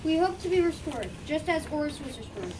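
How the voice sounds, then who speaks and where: A woman reads the part of a patient father and priest of ancient Egypt, in a high voice. The delivery is halting, like amateur readers theater, and it is picked up from far off with clear background noise. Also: sing-song. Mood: patient, instructive. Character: patient Egyptian father, embalmer priest teaching his children. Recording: distant mic, noisy room ambience.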